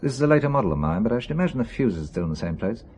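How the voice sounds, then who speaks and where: An actor playing a self-assured, urbane British spy in a radio thriller, explaining things confidently. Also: male voice, mid-range voice, big pitch swings, rapid-fire, a little mellow, slight background noise, natural voice. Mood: assured, focused. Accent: British English.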